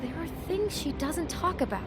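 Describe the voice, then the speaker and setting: A woman's voice: high-pitched, animated, moderate pace, noisy background. A woman playing a concerned young woman in a video game, earnest and hesitant as she soothes and cautions. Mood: earnest warning. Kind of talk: naturalistic video game acting.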